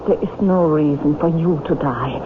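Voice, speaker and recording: An actress playing a tormented woman under hypnosis in an old-time radio drama, speaking in a slow, theatrical way. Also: low-pitched, lightly acted. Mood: intense, resolute. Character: tormented woman under hypnosis, reliving a doomed wartime past life. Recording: noisy, tinny old radio broadcast.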